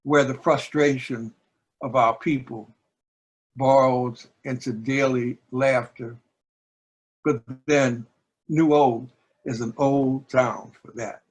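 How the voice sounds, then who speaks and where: A man talks and reads poetry at a slow pace. His voice is husky and middling in pitch. He is deliberate and reflective, leaving pauses as he goes. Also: steady tone. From New Orleans, United States. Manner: deliberate, pausing, measured. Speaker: book editor and poetry reader. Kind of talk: reflective discussion and poetry reading.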